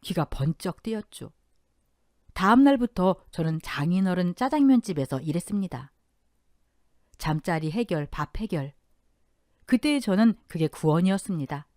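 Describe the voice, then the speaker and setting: A woman narrating a first-person story in a dramatic, expressive radio-story style. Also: on the low side, normal speed, long pauses, no room sound, clean studio sound.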